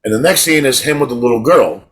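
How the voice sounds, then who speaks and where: Man, middle-aged, husky voice, rambling, casual podcast chat. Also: medium pitch, fast talker, fairly bright tone. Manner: rambling storyteller, repeats words when starting. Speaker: podcast co-host.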